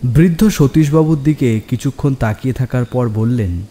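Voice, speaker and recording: A man narrating a scripted horror story in a measured, suspenseful way. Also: medium pitch, dark tone. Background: music and rain.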